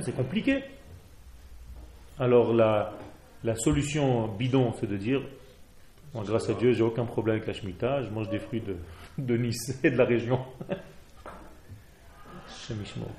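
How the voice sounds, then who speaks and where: A man's voice: medium pitch, gravelly, warm tone. Israeli-accented man, rabbi's fervent Torah lesson, echoey room, faint hiss. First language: Hebrew. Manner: fervent, exhorting, mixes Hebrew terms. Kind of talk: Torah class.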